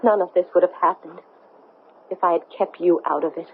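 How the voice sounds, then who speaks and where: An actress playing a troubled, intense woman astronaut in a 1970s radio drama, with a tense, halting, insistent delivery. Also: on the low side, fairly even intonation, gravelly. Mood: distraught. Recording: tinny, noisy 1970s radio broadcast.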